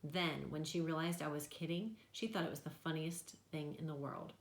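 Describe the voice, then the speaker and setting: A woman giving an expressive read-aloud of a children's novel. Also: low voice, moderate pace, roomy sound.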